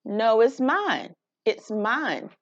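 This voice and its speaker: A woman voicing a stubborn, excitable, easily upset little schoolgirl in a storybook, with emphatic childlike delivery. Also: a little high, drawn-out words, no echo, dull audio, heavily acted. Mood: defiant.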